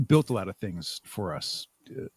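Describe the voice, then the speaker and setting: A man's voice: sits low, sing-song, moderate pace, husky, no room sound, clean studio sound. A man giving casual, self-deprecating, rambling and thoughtful answers as a podcast guest. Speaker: podcast guest, audio drama creator. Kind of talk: casual interview conversation.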